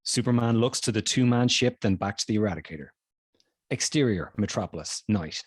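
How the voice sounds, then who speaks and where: A man's voice: lowish voice, expressive, a little fast, husky, no echo, studio quality. A man narrating the stage directions of a screenplay at a table read.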